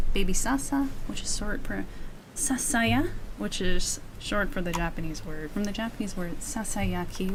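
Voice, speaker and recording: A woman streamer talking in a quiet, soft voice during a chatty ASMR stream, rambling and often breaking off mid-thought. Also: mid-range voice, vocal fry. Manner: quiet voice, rambling and self-interrupting. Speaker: VTuber streamer. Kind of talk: soft-spoken ASMR chat. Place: home streaming setup with storm ambience. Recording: faint background noise of storm sounds and typing.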